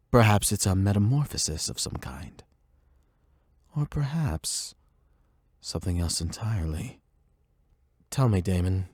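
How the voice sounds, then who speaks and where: A man voicing a calm, erudite therapist in an anime audio roleplay, speaking in a measured, formal, gently probing way. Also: low-pitched, no echo, studio quality, full character voice. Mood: measured, explanatory. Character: calm, erudite male therapist and demi-human expert.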